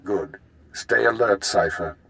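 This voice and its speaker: An actor playing a composed, authoritative intelligence chief in a video game, with a formal, clipped, commanding manner. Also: male voice, lowish voice, slow, gravelly, a bit bright, full character voice. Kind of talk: video game cutscene acting.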